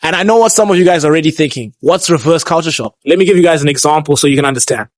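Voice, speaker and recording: A young man from Zimbabwe hosts a street interview vlog, talking quickly and chattily. His voice sits on the higher side and sounds dry and close. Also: dry recording. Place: outdoors on a street.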